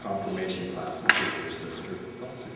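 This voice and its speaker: A man's voice: low voice, normal speed. A man informally telling family anecdotes, with folksy conversational asides to his listeners. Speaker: family member co-reading a tribute. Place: large echoey room at a party gathering. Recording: echoey hall from far off, background noise.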